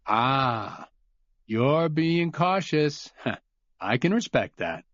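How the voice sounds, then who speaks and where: A man's voice: a little high, big pitch swings, very slow, a bit bright, no echo, highs cut off, pitched above the performer's own voice, heavily acted. A man playing a blunt, defiant father. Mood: wry. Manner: wry and blunt, snarling when angry.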